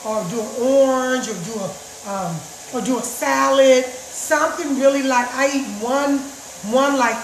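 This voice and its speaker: A middle-aged woman with a raspy voice chatting casually to her viewers about juicing, recorded in her home kitchen on a phone. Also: high voice, steady tone, measured pace, a little hiss or hum. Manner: chatty, repeats herself, addresses viewers as guys. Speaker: juice vlogger. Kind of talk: casual live chat. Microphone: phone camera across the counter. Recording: roomy kitchen echo.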